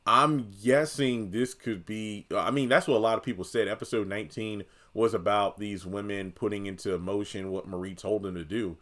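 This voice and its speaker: A man with a lowish voice, the kind heard on a fan's recap channel, chatting to viewers as he puzzles out last night's episode. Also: fairly even intonation, moderate pace, hardly any pauses, fairly bright tone. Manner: conversational, thinks aloud, addresses viewers directly. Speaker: video commentator. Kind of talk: casual opinion commentary on a TV drama.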